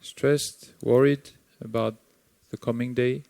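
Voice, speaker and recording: A man with a Sri Lankan accent guiding a meditation, speaking calmly and gently with long pauses between phrases. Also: medium pitch, vocal fry, no room sound. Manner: slow, calm, gently instructive with long pauses. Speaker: meditation teacher. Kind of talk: guided meditation.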